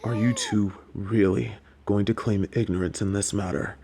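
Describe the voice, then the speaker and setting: A man playing a formal, dryly exasperated professor at a magic school in a fantasy audio drama, speaking in a measured way. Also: fairly low pitch, fairly even intonation, noisy background, natural voice. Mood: skeptical, exasperated.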